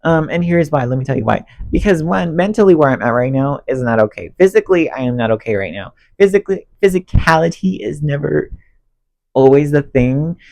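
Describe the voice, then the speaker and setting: A man's voice: on the high side, fairly quick, creaky. Congested young man ranting to camera. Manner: rambling, emotional, self-talk, expressive swings. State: congested, losing voice. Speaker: vlogger. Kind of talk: candid rant to camera while cleaning. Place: bedroom. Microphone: phone on stand under ring light.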